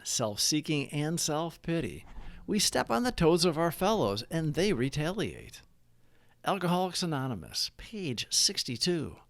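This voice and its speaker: Man reading reflections, lively pitch, dry sound. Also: no room sound. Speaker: narrator of daily recovery readings. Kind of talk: scripted read-along narration of devotional reflections and prayers.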